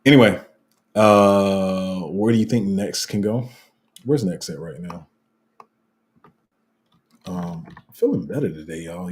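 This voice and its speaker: A man with a low, dark, rumbling voice talks loosely to viewers on a livestream. He rambles and restarts, and his voice leaps up high whenever he gets excited. A slight cough lingers in his throat. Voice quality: deep rumbling voice. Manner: rambling and animated, voice jumping up when excited. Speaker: crypto livestream host. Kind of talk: casual livestream chat reading viewer comments.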